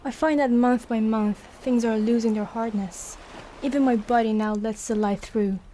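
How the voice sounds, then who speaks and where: A woman playing a dreamy, fragile schoolgirl given to grand fantasies, speaking in a measured, intense way in a poetic audio drama. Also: on the high side, fairly even intonation, warm tone, slight background noise, natural voice.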